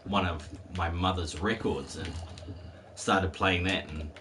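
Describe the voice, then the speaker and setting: A man's voice: sits low, steady tone, takes it slowly, background noise. A man in his forties chatting casually while streaming a game, rambling and laughing easily, his voice still a bit worn from a cold. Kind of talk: casual chat while gaming.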